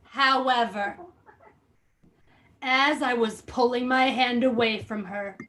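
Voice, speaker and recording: Woman, high bright voice, playful theatrical book reader who slips into casual chat. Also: steady tone, takes it slowly. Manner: theatrical, jokey, often breaking into laughter. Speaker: podcast host. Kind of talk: dramatic reading of a book with casual banter.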